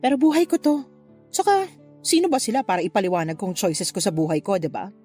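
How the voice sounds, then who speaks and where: A woman playing a flirtatious, unapologetic married mother in a Tagalog radio love drama, with a playful, expressive delivery. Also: medium pitch, quick pace, breathy edge, faint music behind. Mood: candid, defensive. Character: flirtatious married mother having a secret affair, breezily unapologetic.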